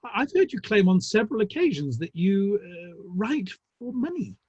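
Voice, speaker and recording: A man acting a tactful, polite Victorian gentleman of the theatre in a play reading, slightly hesitant. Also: high voice, sing-song, moderate pace, husky, no room sound, heavily acted. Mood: tactful, placating. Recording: dull, lo-fi online audio.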